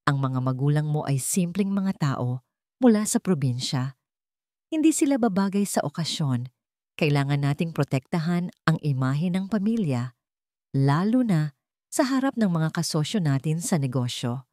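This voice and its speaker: A woman's voice: low voice, moderate pace, dry recording, clean studio sound. A woman voicing a haughty, status-obsessed wealthy mother-in-law in a narrated story, stern and emphatic. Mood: cold, annoyed. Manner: calm, emotionless, stern, emphatic delivery. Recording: music behind the voice.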